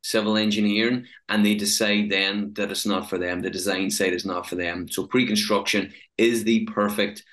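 A man in his thirties hosting a podcast interview from a home office. He speaks briskly into a podcast microphone and often repeats words as he restarts. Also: fairly low pitch, fairly even intonation, a bit bright. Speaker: podcast host. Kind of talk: interview questions. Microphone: podcast microphone on video call.

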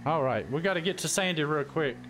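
A man's voice: on the high side, brisk, creaky, a bit bright. Guy hosting, faint background noise. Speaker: game streamer host. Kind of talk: casual gaming livestream chat. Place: warm room at home.

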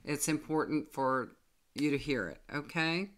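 Middle-aged woman, tarot reader, solemn and unhurried, webcam in a home room. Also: low voice, lively intonation. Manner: slow, earnest and solemn. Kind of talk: direct-to-camera spiritual message. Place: small home room with bookshelves.

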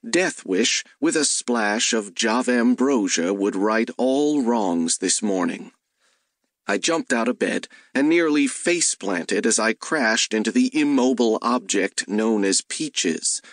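A man narrates an audiobook at a slow, measured pace. His mid-pitched voice has a wry edge, and the recording is dry and close. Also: animated, dry recording. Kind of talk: first-person scripted narration.

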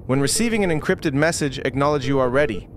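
A man giving scripted, measured, step-by-step instructions as a tutorial narrator. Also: mid-range voice, expressive.

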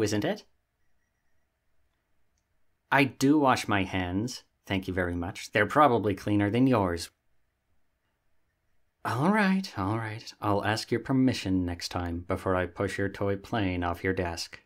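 A sassy young catboy played by a man with a fairly low voice. He is lazy and vain, talking casually and huffily, like a roommate in an ASMR roleplay. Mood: indignant. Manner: sassy, casual, theatrically huffy.

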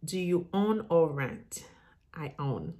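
Female YouTuber, middle-aged, Haitian accent with a Southern US tinge. Low pitch, slow and hesitant, casual Q&A chat. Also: big pitch swings, warm tone. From Haiti, moved to the US as a child and grew up in Florida. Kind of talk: casual chat, answering viewer questions. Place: room in a house, indoors.

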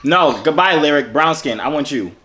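A man's voice: fairly high pitch, animated, moderate pace, crowd noise. A man, chatting casually and flirtatiously in a playful, teasing way. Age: 20s. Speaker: vlogger chatting with strangers online. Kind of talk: casual flirty video chat. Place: indoor home gym or garage. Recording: clearly noisy room recording with clicks.

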